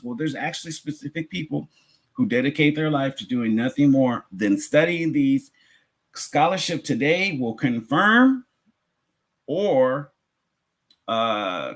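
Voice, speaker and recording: A man's voice: fairly high pitch, fairly bright tone. A man giving a religious lecture as a teacher, conversational, posing rhetorical questions and rephrasing his points.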